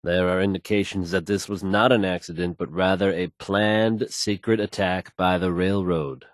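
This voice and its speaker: A man's voice: low-pitched, fairly even intonation, normal speed, no room sound, clean studio sound, natural voice. A man playing a self-assured radio newscaster in a video game, fluent and folksy as he announces the news. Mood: newsy, confident. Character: confident, smooth, assured male radio newscaster.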